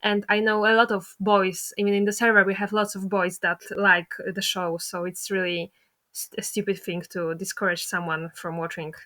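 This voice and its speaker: A woman's voice: mid-range voice, level tone, normal speed, sharp tone. A woman interviewer chatting casually as she asks questions and comments.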